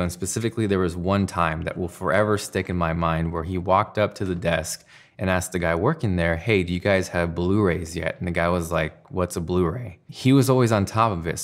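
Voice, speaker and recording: Very low-voiced male tech reviewer. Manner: enthusiastic, emphatic. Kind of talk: scripted tech review to camera. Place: indoor studio room at a desk.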